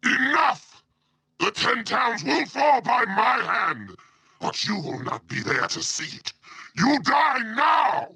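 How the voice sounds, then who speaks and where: A man voicing a brutal, boastful monster warlord in a video game, with a gravelly growl and menacing, contemptuous delivery. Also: extremely high pitch, very animated, bright, forceful tone, no echo, exaggerated voice. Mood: furious. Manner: menacing, contemptuous, slow, drawn-out threats. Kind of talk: video game villain voice acting.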